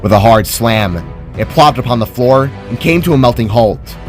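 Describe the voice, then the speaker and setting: A man narrates a fantasy tale in a scripted, dramatic style. His voice sits at an average male pitch, with faint music playing underneath. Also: expressive, moderate pace. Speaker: narrator of own fantasy story.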